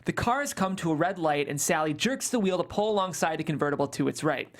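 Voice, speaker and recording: A woman's voice: low voice, expressive, fast talker. A woman hosting a script table read, speaking casually and narrating stage directions.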